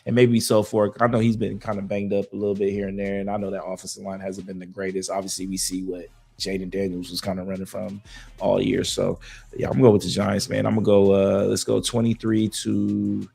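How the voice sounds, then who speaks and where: A man co-hosting a podcast, talking sports in a loose, slangy way with a voice that is going hoarse. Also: low voice, a little fast. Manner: loose, slangy, animated banter with fillers. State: losing his voice. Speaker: podcast co-host. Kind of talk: casual sports talk.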